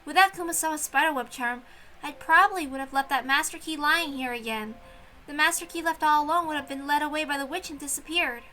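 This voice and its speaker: High, bright woman narrating. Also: brisk, soft background music. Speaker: reader of a visual novel. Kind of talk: expressive story narration.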